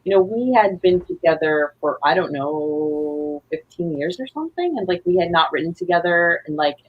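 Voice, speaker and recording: A woman with a low, husky voice speaks as a guest in a casual interview. She is a playwright, and she talks in an earnest, rambling way. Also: lively intonation, normal speed, dull audio.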